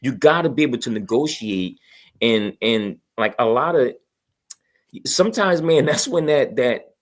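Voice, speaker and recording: A man's voice: mid-range voice, expressive, a little slow. A man chatting casually in a colloquial way, repeating phrases for emphasis. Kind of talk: casual chat.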